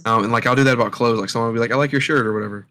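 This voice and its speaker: A young man co-hosting a podcast in casual chat, talking in a dry, low-key, slightly awkward way. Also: steady tone, racing, sharp tone. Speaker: podcast co-host.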